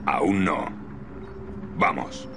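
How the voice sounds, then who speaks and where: An actor playing a stoic, gruff squad leader in a game, terse and commanding, with a European Spanish accent. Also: male voice, deep voice, very slow, heavily acted. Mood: dry, unmoved. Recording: game audio under loud machinery noise.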